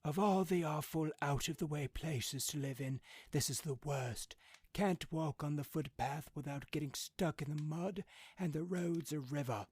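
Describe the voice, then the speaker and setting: An excitable old father from a storybook, acted out by a male narrator. His voice is lifted well above a low speaking pitch, with a grumbling, inquisitive manner. The recording is close and dry. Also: measured pace, husky, no room sound. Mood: exasperated. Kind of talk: storybook character voice.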